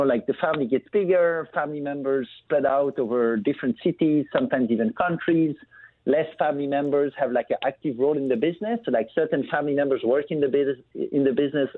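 A man from Belgium, a guest on a business talk show, speaking over the phone in a casual, fluent way with many fillers. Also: on the high side. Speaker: guest, family business founder. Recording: tinny phone line with faint noise.

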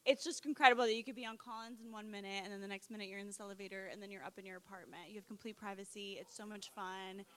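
A young woman from Colombia, a real estate broker, pitching her project with eager sales enthusiasm. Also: steady tone, very fast, few pauses. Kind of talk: interview guest, sales pitch.

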